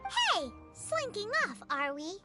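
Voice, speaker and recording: Very squeaky, chirpy little fairy companion. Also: female voice, slow pace, loud music behind the voice, heavily acted. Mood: cheeky. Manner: squeaky, excitable, chatty. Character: tiny, chirpy, cheeky childlike fairy companion. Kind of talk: video game character dialogue.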